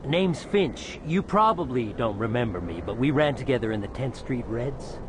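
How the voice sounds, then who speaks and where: A man's voice: medium pitch, lively intonation, normal speed, background noise, lightly acted. A man playing a cocky, needling street gang member in a video game. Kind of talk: video game drama acting.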